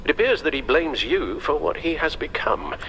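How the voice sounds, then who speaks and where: Loyal butler confidant, formal, solemn, crisp-edged bright voice, video game drama, faint background noise. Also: male voice, fairly high pitch, big pitch swings, moderate pace, low-quality recording. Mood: concerned.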